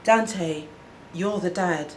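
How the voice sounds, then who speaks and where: A woman's voice: lowish voice, drawn-out words, slight background noise. A woman voicing an anxious but determined teenage mother in a storybook reading, tentative and earnest.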